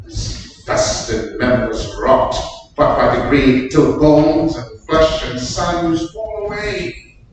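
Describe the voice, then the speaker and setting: A man playing a grave, brooding English nobleman in a solemn Shakespearean staged reading. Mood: grave foreboding. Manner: slow, solemn declamation. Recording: echoey distant room, faint rumbling noise.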